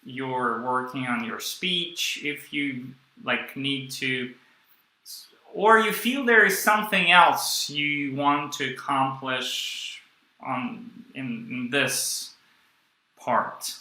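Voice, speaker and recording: A man interviewing a guest, asking long questions in slow, searching phrasing full of fillers. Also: mid-range voice, sharp tone. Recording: echoey room.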